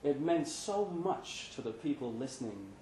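A man's voice: mid-range voice, sing-song, measured pace, mellow, slight background noise. A man narrating a short story dramatically at a live reading. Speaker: story reader. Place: indoor pub event. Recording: roomy live pub reading.

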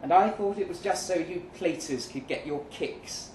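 A man voicing a resolute, world-weary older woman with a smooth, emphatic delivery in a short story reading. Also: sits high, normal speed, a little mellow, voice pushed up, lightly acted. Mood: curious, teasing. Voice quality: smooth-toned. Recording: roomy, distant live venue recording, faint noise.

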